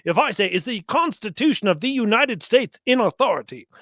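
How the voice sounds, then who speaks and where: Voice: medium pitch, big pitch swings, a little fast, fairly bright tone, no room sound, clean studio sound. A commentator giving sarcastic religious reactions to clips, mocking and often acting out imagined exchanges.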